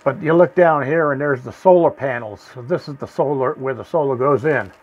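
A man's voice: mid-range voice, brisk, breathy edge, dull audio. A man on his homestead channel, showing step by step how he wires a solar setup, often stopping to ask "okay?" and repeating words. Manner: folksy, repeats words, asks "okay?" after steps. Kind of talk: hands-on how-to demonstration. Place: small solar battery shed, indoors.

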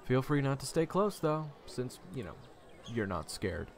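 A man playing a kind, casual, gently teasing young man in an audio drama. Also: medium pitch, sing-song, unhurried, a little hiss or hum.

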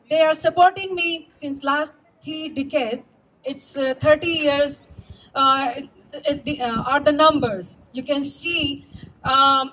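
An older woman with a very high voice speaks halting, English, thanking her community. She talks into a handheld mic on an outdoor park stage. Faint ambient noise sits behind her. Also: fairly bright tone, low-quality recording. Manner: hesitant, thankful, with non-native phrasing. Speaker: community organizer. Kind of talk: event welcome speech. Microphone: handheld mic over a PA.

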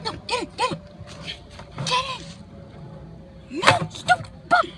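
A teenage boy vlogging outdoors in the woods on a handheld phone, chatty and excitable, cursing under his breath as he works. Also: very high voice, takes it slowly. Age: teens. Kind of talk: casual outdoor vlog. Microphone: handheld phone camera. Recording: loud outdoor noise on phone.

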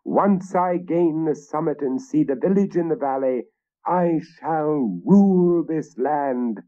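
A man's voice: on the high side, slow pace, pitched above the performer's own voice. A man reading a storybook and voicing an ambitious Danish invader king who speaks in a grandiose, commanding way, in a dull lo-fi recording.

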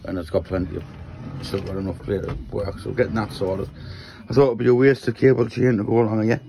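Croaky Geordie man in a shed. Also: fairly low pitch, flat delivery, a little fast, noisy background. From Newcastle, England. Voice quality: croaky, gravelly voice. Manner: rambling, explains while handling parts. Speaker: maker vlogger. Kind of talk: casual workshop walkthrough. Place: small wooden shed workshop, indoors. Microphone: handheld phone.

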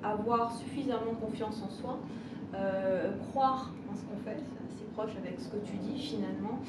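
A woman in her forties, a tech executive and mentor, talking through her ideas in an interview with frequent fillers and pauses. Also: medium pitch. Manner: many pauses and fillers, thinking aloud. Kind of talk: conversational interview. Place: small bright indoor room. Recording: echoey room, distant mic, loud noise.

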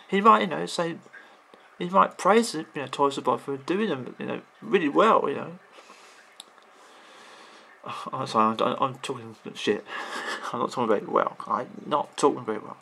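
A croaky-voiced man in his thirties rambles through his thoughts, restarting sentences and leaning on "you know". His voice is gravelly with fry, and there is a faint hiss under it. He is indoors, talking while he browses. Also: mid-range voice, a bit bright. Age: 30s. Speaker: video game vlogger. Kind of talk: rambling off-the-cuff commentary. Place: indoors at a computer.

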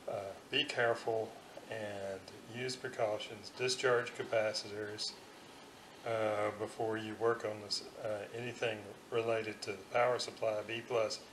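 A man giving an informal hands-on technical demonstration as an electronics hobbyist, halting and thinking aloud, recorded in a room at home on a camera's built-in microphone. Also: fairly low pitch, a bit bright. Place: room in a house. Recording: roomy echo, faint background noise.

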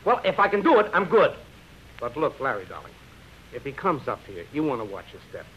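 A man's voice: medium pitch, very animated, brisk, a bit bright, husky. An actor playing an anxious theatrical agent in a 1930s radio drama, coaxing and stammering as he goes. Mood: coaxing, worried. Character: anxious, fast-talking male theatrical agent, placating and wheedling. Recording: muffled, hissy 1930s radio recording.

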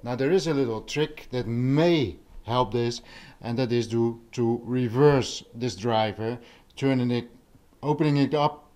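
A man talking casually as a vlog host, explaining things in a musing, unhurried manner while filming indoors. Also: medium pitch, a little mellow. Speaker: audio vlogger, host. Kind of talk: casual vlog talk over handheld footage. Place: living room with carpet and couch, indoors.

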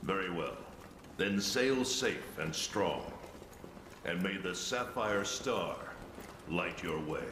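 Deep, solemn fleet commander. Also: male voice, expressive, background noise. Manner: grave, measured, ceremonial. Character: solemn commanding male fleet leader sending off voyagers. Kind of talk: solemn cinematic game cutscene speech. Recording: echoey, music underneath.

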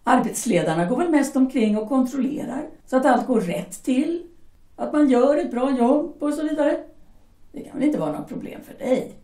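A woman's voice: sits high, expressive, mellow, distant mic. A woman playing a pragmatic young German mother who insistently coaxes her husband. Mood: persuasive. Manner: coaxing, insistent, brushes off doubts. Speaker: shipyard worker's wife. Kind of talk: amateur audio drama acting. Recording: echoey room, voice set back from mic.

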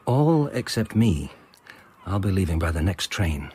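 Disgraced former policeman, radio drama: very husky, low, dogged, guarded. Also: sing-song, unhurried, darkish tone, heavily acted. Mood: bitter, urgent.

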